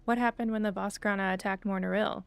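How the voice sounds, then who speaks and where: A woman's voice: medium pitch, fairly even intonation, fast talker, vocal fry, clear, resonant tone. A woman streaming a game, chatting casually and thinking aloud as she plays. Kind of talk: casual livestream chat while playing.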